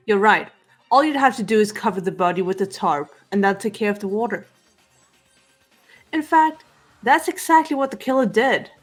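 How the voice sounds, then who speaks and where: A woman's voice: mid-range voice, lightly acted. Composed teenage girl detective, voiced by a woman. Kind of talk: fan-voiced visual novel game dialogue. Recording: music behind the voice.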